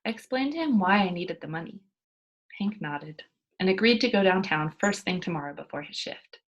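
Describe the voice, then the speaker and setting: A woman reads a children's story aloud in a lowish voice with a slight husk. Her delivery is expressive and emphatic, dropping into hushed moments for drama, like a teacher reading to pupils. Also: normal speed, muffled recording.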